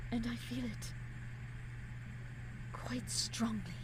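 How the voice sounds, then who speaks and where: A woman plays a genteel young lady of eighteenth-century Vienna in an audio drama. Her voice is high, her speech formal, caring and easily flustered into apology. The recording has clear background noise. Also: slow pace. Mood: tender, soothing. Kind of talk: period romance audio drama acting.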